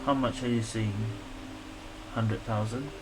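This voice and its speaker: A woman's voice: a bass voice, fairly even intonation, a little slow, poor audio. A woman giving casual, hesitant, musing commentary while playing a video game. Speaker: gaming streamer. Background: game music.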